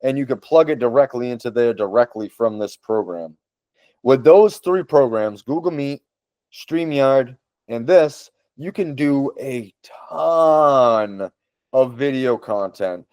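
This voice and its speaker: A man's voice: medium pitch, slow pace, a little mellow, no room sound. Enthusiastic man with a Boston accent. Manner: enthusiastic, repeats words for emphasis. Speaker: podcast host. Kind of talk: casual tutorial talk.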